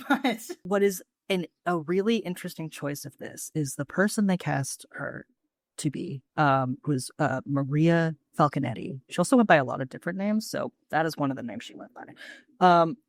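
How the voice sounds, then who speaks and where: Podcast co-host, mid-pitched and dry-recorded, casual, enthusiastic, slang-heavy and freely sweary. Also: lively intonation, normal speed, dry recording.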